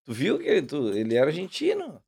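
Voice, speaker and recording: Gaucho podcast guy, jokey, gravelly, voice bounces all over. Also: fairly high pitch, wildly swooping pitch, fast, darkish tone. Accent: southern Brazilian, Rio Grande do Sul. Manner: playful, teasing, laughs often. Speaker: podcast host. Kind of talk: casual interview chat.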